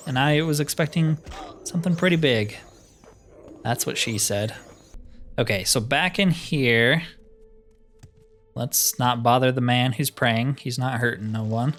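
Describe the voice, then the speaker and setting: A man hosts a gaming video in an ordinary mid-pitched voice, with music playing faintly behind him. He talks through his play casually, thinking aloud with wry grumbles. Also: fairly bright tone. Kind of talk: casual let's play commentary.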